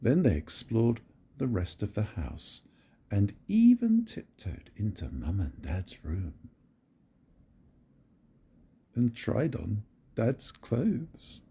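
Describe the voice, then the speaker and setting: An older man reading a picture book aloud to his grandchildren in a gentle, very expressive storytelling manner. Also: lowish voice, takes it slowly, gravelly, pauses often, breathy edge.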